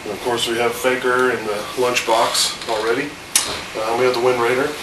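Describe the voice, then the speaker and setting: A man's voice: mid-range voice, little pitch movement, normal speed. Man with a cold, rambling casually. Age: 30s. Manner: chatty, rambling with fillers. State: has a cold, voice a little off. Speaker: host. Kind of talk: casual home video chat. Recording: echoey room, distant mic, air conditioner hum.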